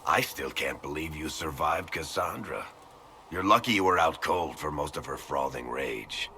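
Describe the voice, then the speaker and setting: An actor playing a wry, roguish storyteller in a video game, weary but friendly, with a gravelly voice and conversational asides. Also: male voice, rumbling low, lively intonation, normal speed, sharp tone, slight background noise, heavily acted. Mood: wry concern. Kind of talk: video game character dialogue.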